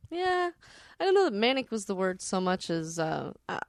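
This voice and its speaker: A woman talking loosely as a podcast co-host, wry and self-deprecating. Her pitch is medium, and the sound is dry and close. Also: big pitch swings, normal speed, dry recording. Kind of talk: casual, rambling storytelling chat.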